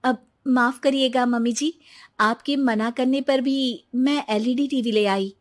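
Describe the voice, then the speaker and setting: Woman acting a high, polite young daughter-in-law. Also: unhurried. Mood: apologetic. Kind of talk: cartoon moral story acting.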